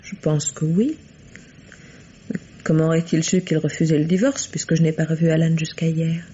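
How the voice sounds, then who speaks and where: A woman's voice: low-pitched, steady tone, moderate pace, dark tone, slight background noise, lightly acted. An actress playing an evasive, wounded young woman under police questioning in a radio mystery, hesitant and pleading. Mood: guarded. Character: evasive, wounded young woman suspected of murdering her husband. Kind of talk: 1950s French radio mystery acting. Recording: muffled vintage radio drama sound.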